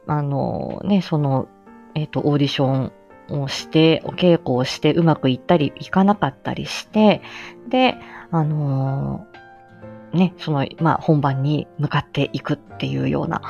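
Female live-stream host, low voice, halting and modest chatty delivery, dull lo-fi sound. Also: mellow. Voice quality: mature, low-set voice. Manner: chuckles often between phrases. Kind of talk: casual rambling solo chat. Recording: dull lo-fi audio, faint music behind.

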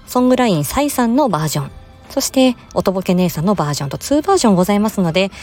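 A woman's voice: medium pitch, darkish tone. A woman giving a promotional announcement in a polite, formal manner, like a presenter. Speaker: voice performer and channel host. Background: music.

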